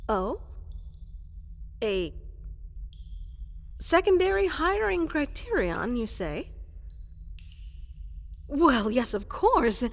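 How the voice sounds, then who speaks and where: A woman's voice: sits high, sing-song, drawn-out words, pauses often, exaggerated voice. A woman playing a fluffy, woolly llama-like creature in a fantasy roleplay, eager to serve as an evil advisor, speaking with flustered, hesitant politeness. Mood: eager, deferential. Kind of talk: roleplay ASMR character acting. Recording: long reverberant hall echo.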